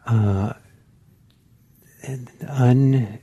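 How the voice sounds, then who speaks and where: A man teaching meditation in a gentle, reflective, halting way, leaving long pauses between short phrases. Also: medium pitch, highs cut off. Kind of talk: guided meditation talk.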